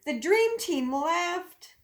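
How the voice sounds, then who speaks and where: A woman's voice: extremely high pitch, expressive, drawn-out words. A woman teacher reading a children's storybook aloud expressively, with emphatic sound words and simple asides to young listeners.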